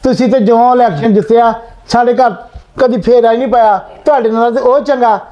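A man's voice: extremely high pitch, fairly even intonation, brisk, dark tone, muffled recording. A man playing a bossy household guru in a Punjabi comedy sketch, scolding and theatrical. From Punjab, Pakistan. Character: bossy middle-aged transgender household guru. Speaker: head of the house, called guru. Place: small bedroom indoors.

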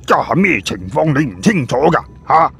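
Man putting on a very husky, nagging sidekick. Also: a little high, big pitch swings, moderate pace, a bit bright, pitched above the performer's own voice, full character voice. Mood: nagging, worried. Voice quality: husky, rough. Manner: nagging, colloquial, full of questioning particles. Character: anxious, nagging male sidekick, pleading and doubtful. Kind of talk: audiobook character dialogue.

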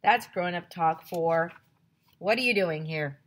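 Lady teacher doing a storybook read-aloud from home, low husky voice, big expressive delivery. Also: unhurried. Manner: expressive storytelling, addresses kids directly. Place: small home room with classroom decorations. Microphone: headset.

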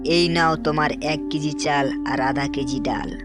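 A woman acting a low-voiced, blunt haggling shopkeeper. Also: fairly even intonation, measured pace. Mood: businesslike. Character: blunt, hard-bargaining shopkeeper in a folk tale. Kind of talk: cartoon story dubbing. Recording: loud music under the voice.

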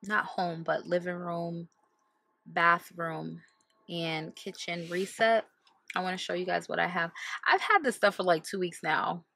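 Bright-voiced woman in her 30s, bit of vocal fry, chatty vlog from the car, camera at arm's length, faint hiss. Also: fairly low pitch, fairly even intonation, measured pace. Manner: chatty, corrects herself mid-sentence. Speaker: vlogger. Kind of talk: casual vlog chat to camera. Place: inside a car, passenger seat. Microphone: camera held at arm's length.

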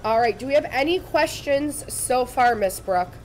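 A woman, slightly raspy from laryngitis, giving a chatty, upbeat live sewing tutorial in a studio. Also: fairly high pitch, animated, normal speed, darkish tone, a little hiss or hum, low-quality recording. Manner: chatty, upbeat, addresses viewers directly. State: recovering from lost voice. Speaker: embroidery demonstrator. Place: studio set with shelves, indoors.

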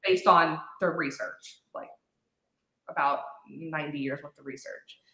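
A woman's voice: deep voice, fairly even intonation, unhurried, fairly bright tone, reverberant, poor audio. A woman in her thirties, a speech pathologist, explaining things carefully with many fillers on a video call. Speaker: speech language pathologist guest. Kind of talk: casual chat. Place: home room on a video call.

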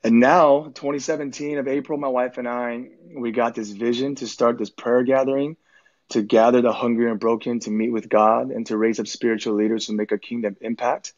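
A man's voice: medium pitch, level tone, brisk, darkish tone, lo-fi sound. A man in his twenties, a minister, talking in a casual, earnest, easygoing way. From Korea. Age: 20s. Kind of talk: casual conversation.

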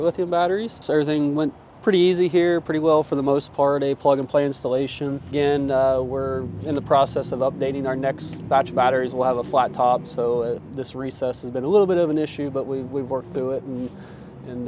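A man's voice: mid-range voice, a little mellow. A man in his forties with an American accent, giving an informal, plain-spoken product demonstration to camera as an installer. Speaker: presenter and installer. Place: outdoors on a gravel truck lot. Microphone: clip-on lapel mic. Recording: outdoor ambient noise.

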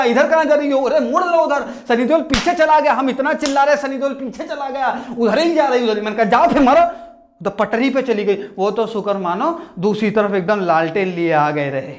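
Animated young man telling a rustic comic story. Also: sits high. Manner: rustic storyteller, shouts at the screen, acts out every character. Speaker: stand-up comedian. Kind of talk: comic movie retelling. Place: small indoor room with posters. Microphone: lapel mic, camera at mid distance.

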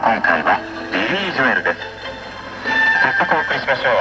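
A man's voice: mid-range voice, very animated, rapid-fire, lo-fi sound. A man announcing a music programme in a scripted style, heard through a radio speaker. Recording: shortwave radio with loud music.

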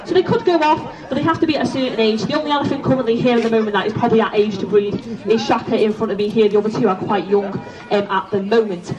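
A woman's voice: on the high side, fast, few pauses. A woman giving an informative public talk outdoors as a zoo keeper. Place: outdoors at an elephant enclosure. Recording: distant, slightly dull, crowd around.

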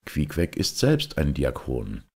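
A man's voice: a bass voice, huge pitch swings, slow, a little mellow, slightly rough. A man reading a classic novel aloud as an audiobook narrator, expressively.

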